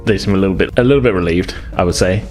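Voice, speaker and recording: Lowish-voiced man narrating a tabletop game. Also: rapid-fire, a little mellow, faint music behind. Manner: hedging, informal, stop-start phrasing. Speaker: game master.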